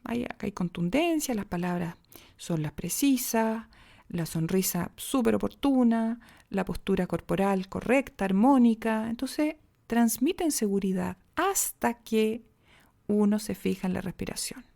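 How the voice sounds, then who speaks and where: A woman with a Chilean accent teaching speech and diction on a podcast, acting out pauses, pitch and pace changes as examples. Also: lively intonation, vocal fry, dark tone. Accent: Chilean Spanish. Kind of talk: podcast voice lesson.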